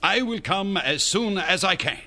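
An actor playing a brave, commanding Spanish priest in a radio drama, firm and dryly sarcastic. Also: male voice, sits high, lively intonation, a little slow, sharp tone, heavily acted.

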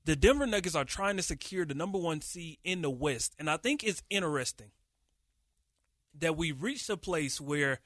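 A man co-hosting a casual sports podcast, talking with animated energy and frequent restarts. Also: a little high, no room sound, clean studio sound. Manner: animated, hype-filled delivery with many restarts. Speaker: podcast co-host, radio producer.

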